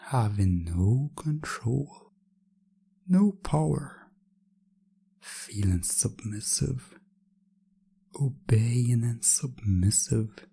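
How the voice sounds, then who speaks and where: Very slow, breathy male hypnosis voice. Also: mid-range voice, dark tone. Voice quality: breathy, often dropping to a whisper. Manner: slow, repetitive, commanding, hypnotic. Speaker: hypnosis narrator. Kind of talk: hypnosis ASMR, scripted narration.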